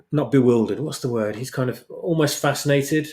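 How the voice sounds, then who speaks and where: A man's voice: mid-range voice, a little slow. A man chatting casually as a guest, tentatively searching for words as he explains. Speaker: guest, audio drama creator. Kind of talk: casual discussion.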